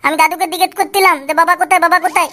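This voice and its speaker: Female actor as nagging little boy, comic cartoon, high-pitched, quick, childishly insistent. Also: fairly even intonation, bright, forceful tone. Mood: accusing. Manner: whiny, insistent, childish repetition. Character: nagging, stubborn little boy demanding a soft drink. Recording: clear background noise.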